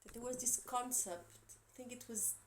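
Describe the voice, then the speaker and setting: A woman from Portugal, speaking English as a second language with a Portuguese accent, giving an earnest, encouraging tarot reading with soft, quiet asides. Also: fairly high pitch, normal speed, a little hiss or hum. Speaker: tarot reader. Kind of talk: tarot reading for a zodiac sign.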